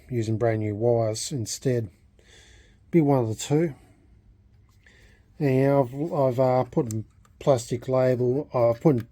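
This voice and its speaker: A man talking unscripted as a hobbyist vlogger, in a halting way, often repeating and rephrasing himself. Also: mid-range voice, warm tone, no echo. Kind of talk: unscripted restoration vlog talk.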